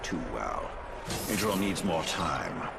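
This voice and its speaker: A man acting as a grave, dry-witted soldier in a video game, understated and gravelly. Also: sits low, big pitch swings, slow, loud music behind the voice, full character voice. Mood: wry concern. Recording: loud outdoor noise behind speech.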